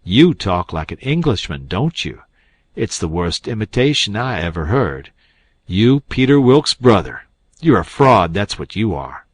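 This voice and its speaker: An indignant older doctor who speaks in blunt, commanding tones, as a male reader acts him out. His voice sits a little above the reader's low register and is recorded dry and close. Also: lively intonation, a little slow, no echo. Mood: scornful.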